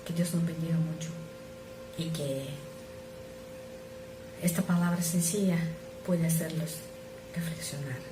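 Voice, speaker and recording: A middle-aged woman who is not a native speaker, preaching an earnest, measured sermon that sometimes drops to soft asides. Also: low voice, steady tone. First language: not Spanish. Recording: echoey room with noise.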